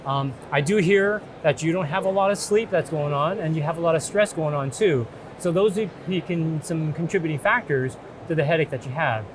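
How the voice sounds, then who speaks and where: A man's voice: on the high side, a little fast. A middle-aged man speaking as a doctor in a reassuring, explanatory consultation. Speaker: doctor in a mock patient consultation. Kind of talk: conversational clinical consultation. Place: open booth in large indoor exhibition hall. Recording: noisy trade show hall background.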